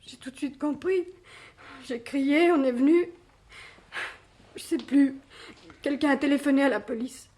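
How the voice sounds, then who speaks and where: A woman's voice: high-pitched, unhurried, long pauses, dark tone. An actress playing an anxious young café waitress in a mystery play, earnestly pleading for her accused fiancé. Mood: distressed, recounting. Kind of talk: French radio mystery play acting.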